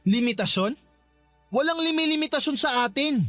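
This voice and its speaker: A jealous young best friend who will not let go. The voice is high, demanding and argumentative, played with the raw emotion of radio drama. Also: female voice, lively intonation, moderate pace, a bit bright, dry recording, faint music behind. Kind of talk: Tagalog radio drama acting.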